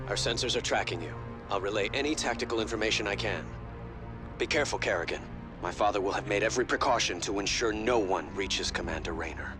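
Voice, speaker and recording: A man's voice: on the low side, fast talker, lightly acted. A man playing an earnest, composed military ally in a video game, giving urgent, businesslike tactical updates. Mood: concerned, urgent. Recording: loud music and machinery behind.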